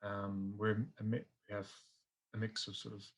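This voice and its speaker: Lowish-voiced British man presenting. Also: completely flat delivery, moderate pace, lo-fi sound. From United Kingdom. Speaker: programme coordinator presenting. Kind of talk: recorded conference presentation.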